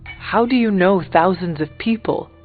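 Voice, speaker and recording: Man as grave, higher-pitched warrior hunter. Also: moderate pace, close to an everyday voice. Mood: reserved. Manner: formal, uncontracted, solemn speech. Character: grave, stern, uncompromising warrior hunter, adult. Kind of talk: video game character dialogue. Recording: thin phone-like sound, music behind.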